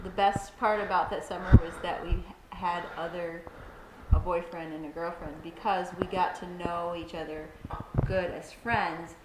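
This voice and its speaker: A woman's voice: on the low side, steady tone, normal speed, very noisy. A woman telling a story casually in an interview, informal and teasing.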